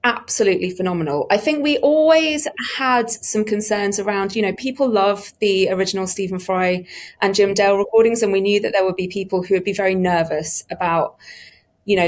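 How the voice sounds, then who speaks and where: A woman talking effusively and emphatically about creative work in a conversational panel interview. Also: mid-range voice. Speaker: audiobook production team member on a panel. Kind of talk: enthusiastic panel interview talk.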